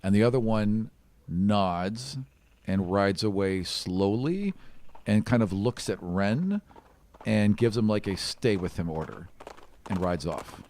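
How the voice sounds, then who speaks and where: A man's voice: lowish voice, lively intonation, slow pace, a little mellow. A man working as game master, narrating an improvised tabletop game in a casual, conversational way.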